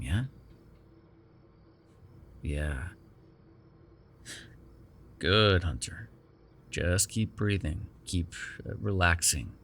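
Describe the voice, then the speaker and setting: Male actor as sardonic male vampire, prickly yet caring, teasing, ASMR roleplay, bright voice, animated intonation. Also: sits low, creaky, a little hiss or hum, full character voice. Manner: teasing, sarcastic, breaking into exasperation and soothing murmurs.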